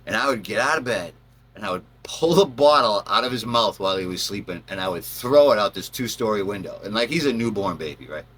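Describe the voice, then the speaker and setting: Guy kinda low voice but bright and punchy, rambling casual storytelling, acts stuff out. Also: lively intonation, fairly quick, slightly rough. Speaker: storyteller, channel host.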